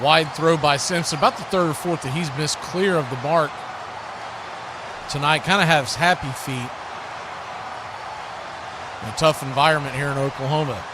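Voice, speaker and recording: A high-pitched male sports announcer calls a football game live, play by play. His voice comes over a clearly noisy stream. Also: moderate pace, pauses often.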